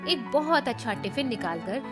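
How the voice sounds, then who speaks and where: Woman narrator for kids bedtime fable, scripted storytelling, highish pitch, music playing behind. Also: lively intonation, normal speed.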